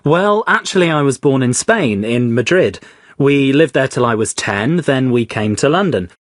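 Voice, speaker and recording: An actor playing a young man guest who talks in a relaxed, thoughtful way in a scripted conversation. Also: mid-range voice, normal speed, dry recording, studio quality, natural voice. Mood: easygoing. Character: young man guest, Rafa, born in Madrid. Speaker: podcast guest.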